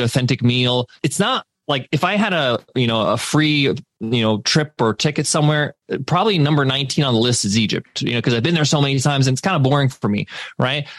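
An emphatic man in his thirties with a mid-pitched voice, speaking as a podcast guest. He talks energetically, peppering his points with "like" and "right?". The sound is dry and close. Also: a bit bright, no echo. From United States. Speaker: podcast guest, former teacher turned entrepreneur. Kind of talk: casual interview talk.

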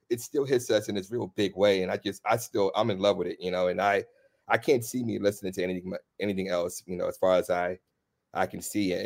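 Man, lowish voice, easygoing, lots of fillers, from D.C., co-hosting. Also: gravelly, darkish tone. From Washington, D.C. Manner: easygoing, leads the talk with frequent fillers. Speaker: hip-hop journalist, co-host. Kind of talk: casual conversation.

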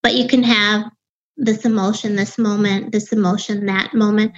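A woman in her fifties with a Wisconsin accent and a young-sounding voice, telling her own story as an interview guest in a reflective way, with halting restarts. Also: mid-range voice, little pitch movement, lo-fi sound. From Wisconsin, USA. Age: 50s. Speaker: author and grief guest.

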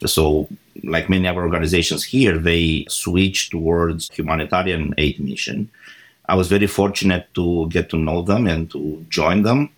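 A man from Romania in his forties speaks as an interview guest. His voice sits very low, and he lays out his reasons in a measured, deliberate way. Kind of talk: interview answers.

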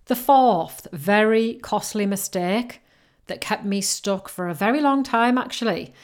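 Woman, Yorkshire-Geordie accent, podcast coach, encouraging, mid pitch. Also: measured pace. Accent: Northern English, between Yorkshire and Geordie. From North East England coast. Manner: upbeat, encouraging, repeats key phrases. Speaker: podcast host, business coach. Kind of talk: solo podcast advice.

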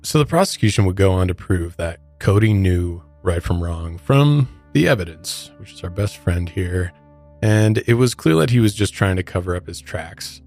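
Low male voice, American accent, podcast host, storytelling delivery, soft music bed. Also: lively intonation, moderate pace. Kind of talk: conversational true crime narration.

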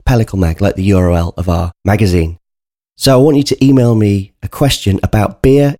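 Man, stuffy from a cold, low mellow voice, earnest chatty host, dry close recording. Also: fairly quick, no room sound. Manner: chatty, earnest, direct to listeners. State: congested with a cold, bunged up. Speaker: podcast host. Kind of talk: casual spoken podcast introduction.

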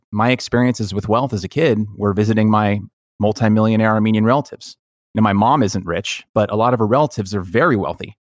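A man with a fairly low voice talks as a podcast host in a dry, close recording. He is earnest and reflective, telling personal stories with halting pauses. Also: no echo. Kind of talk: personal storytelling in conversation.

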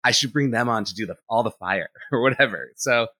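A man, an animator and director, talking in a relaxed interview and thinking out loud with lots of "like" fillers. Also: medium pitch, a little fast, dry recording. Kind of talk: casual interview conversation.

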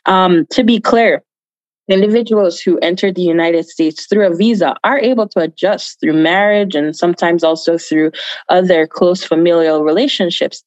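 A woman's voice: on the low side, moderate pace, darkish tone, dry recording, lo-fi sound. A woman from Jamaica giving earnest, emphatic answers as an activist guest in an interview, repeating phrases for stress.

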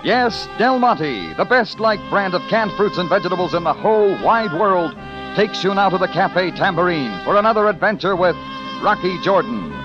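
A man's voice: high-pitched, lively intonation, normal speed, over music. An American man announcing a sponsored 1950s radio adventure show in formal, scripted style. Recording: dull vintage radio recording.

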